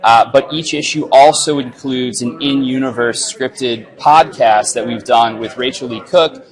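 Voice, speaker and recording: A man's voice: mid-range voice, a little slow, rough recording. Guy with a medium voice being interviewed, comics creator casually announcing a project. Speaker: comics writer and film director, interview guest. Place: live at a comics convention.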